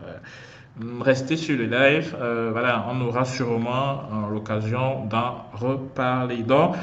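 A young man from Cameroon, hosting a casual livestream and talking in a halting, chatty way as he calls out to viewers over a headset. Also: medium pitch, steady tone, fairly bright tone. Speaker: host of a student livestream. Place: small indoor room. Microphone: headset on a video call. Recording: muffled video-call audio, faint hiss.

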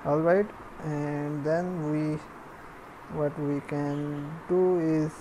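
A woman giving a step-by-step programming tutorial, dictating in a halting, piecemeal way as she works. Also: low-pitched, fairly even intonation, noisy background. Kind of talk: step-by-step screencast tutorial, spoken while typing.

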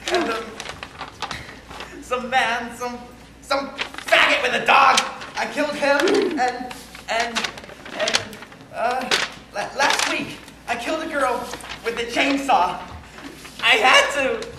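Man acting a frantic, high-pitched confessing killer, echoey. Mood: agitated confession. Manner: halting, stammering, breaking into nervous laughter. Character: frantic, confessing male murderer, unhinged and panicking. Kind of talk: theatrical monologue as a one-sided phone call. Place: large room at a banquet, recorded on camcorder. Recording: echoey hall, loud noisy camcorder audio.

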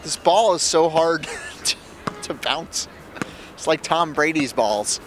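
A man's voice: a little high, lively intonation, takes it slowly, noisy background. A young man hosting casual, joking street interviews into a handheld microphone. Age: young adult. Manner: joking, banter-heavy. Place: busy city street at night. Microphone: handheld reporter microphone.

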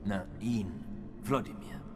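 An actor playing a grizzled middle-aged monster hunter who talks tersely and bluntly in a video game dub. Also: male voice, on the low side, slow, noisy background. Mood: exasperated. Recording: reverberant with music underneath.

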